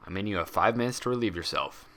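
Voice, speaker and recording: A man's voice: sits low, fast talker, a bit bright. A man voicing a bored stallion orderly in a story, curt and indifferent.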